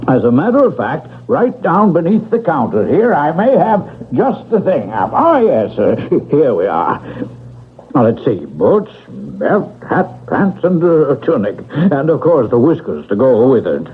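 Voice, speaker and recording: Slow, genial folksy shopkeeper. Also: male voice, a little high, wildly swooping pitch. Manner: drawn-out, hesitant, chuckling patter. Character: genial, folksy, unhurried old-fashioned male shopkeeper. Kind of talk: old-time radio drama acting. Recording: muffled, noisy 1970s radio recording.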